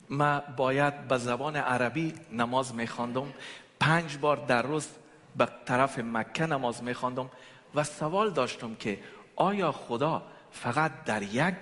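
A man's voice: medium pitch, a bit bright. A man from Afghanistan with an Afghan accent, a native Persian speaker, preaching and giving testimony in short passages for an interpreter. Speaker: guest preacher. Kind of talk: sermon with personal testimony, pausing for an interpreter. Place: large echoing church hall. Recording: long hall reverb.

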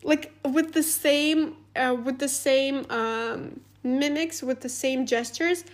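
Woman, high voice, chatty podcast host, laughs a lot, says like all the time. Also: slow pace. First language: Russian. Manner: chatty, animated, laughs often, many "like" fillers. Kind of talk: casual advice monologue.

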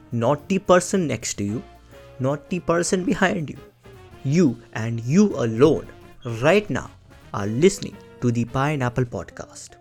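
A man's voice: mid-range voice, lightly acted. Guy doing a big hammy indian accent, mock-grand, repeats himself a lot, bit of music behind. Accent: put-on Indian. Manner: grandiose, repetitive, mock-dramatic delivery. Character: showy man with a hammed-up Indian accent.

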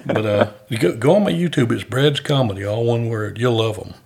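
A man's voice: mid-range voice, fairly quick, gravelly, a little mellow, slightly rough. A man who does stand-up comedy, hosting a casual podcast chat with dry jokes. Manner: joking, dry wisecracks.

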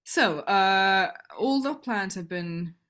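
A woman with an English accent co-hosting a casual podcast about theatre-making, quick to chime in and agree. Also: medium pitch, animated, a bit bright. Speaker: podcast co-host, theatre maker. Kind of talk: casual chat.

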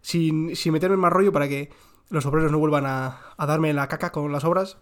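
A man's voice: fairly high pitch, fairly even intonation, a little fast. Joking, slangy man reviewing books. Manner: joking, slangy, swears casually. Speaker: book reviewer. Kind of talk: casual book recommendations. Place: home with some room echo. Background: construction work next door.

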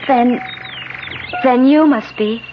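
An actress playing an innocent, awestruck young woman in a 1970s radio drama, speaking in breathless, halting phrases. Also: on the high side. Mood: dawning disbelief. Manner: breathless, halting, wonder-filled delivery. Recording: dull 1970s radio recording with music.

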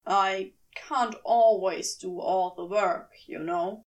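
Lady doing a dry weary guy, dropped lower, cool and reproachful, audiobook character, mic bit far. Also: steady tone, takes it slowly, lightly acted. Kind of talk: fan fiction audiobook character voice.

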